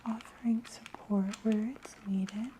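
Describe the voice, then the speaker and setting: A woman speaks in breathy, soft ASMR, mostly in a whisper, with a very dark, velvety tone. She takes her time, gentle and soothing, with long slow phrasing. A faint noise sits behind her voice. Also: mid-range voice, flat delivery. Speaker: ASMR host performing a scalp exam roleplay.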